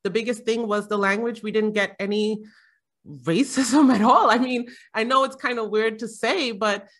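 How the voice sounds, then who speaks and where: A woman's voice: on the high side, normal speed. A middle-aged woman from Jamaica chatting casually as a panel guest, rambling with frequent fillers and laughs. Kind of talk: casual conversation.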